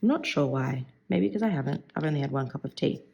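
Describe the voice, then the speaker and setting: A woman with a croaky, gravelly voice and plenty of vocal fry talks her way through an ink review. She rambles in a chatty way, thinking aloud while she swatches at her desk. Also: sits low, animated, quick pace, darkish tone. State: croaky voice today. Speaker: stationery vlogger.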